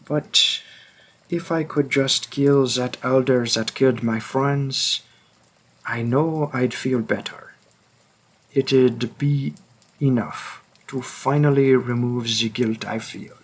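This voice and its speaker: A man playing a grim, driven vampire who hunts his own kind in an audio roleplay, speaking with an attempted French accent in a deliberate, halting, intense way. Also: mid-range voice, steady tone. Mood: brooding, guilty. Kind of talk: audio roleplay spoken to the listener.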